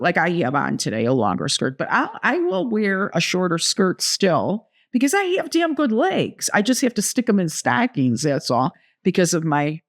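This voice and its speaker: A woman in her seventies co-hosting a podcast, chatting casually and telling stories with playful asides. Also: low voice. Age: 70s. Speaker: podcast co-host. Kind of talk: casual chat.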